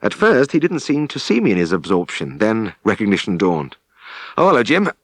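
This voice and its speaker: A British man reading a memoir as an audiobook narrator, with measured, expressive storytelling. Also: on the low side, no room sound, clean studio sound.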